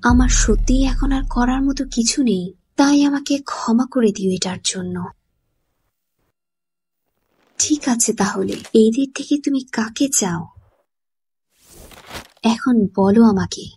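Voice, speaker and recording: A calculating anime boss lady, played by a woman, speaks in a fairly high, composed voice. She is polite and measured, quietly manipulative, with a veiled threat. Faint noise sits behind the voice. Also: natural voice. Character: calm, calculating female devil hunter boss, quietly manipulative. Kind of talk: anime fan dub dialogue in Bangla.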